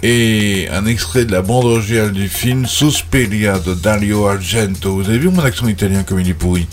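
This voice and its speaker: A man's voice: fairly low pitch, moderate pace. A man hosting a casual radio show about film music, chatty and affectionate as he signs off. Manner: chatty, affectionate, jokey sign-off.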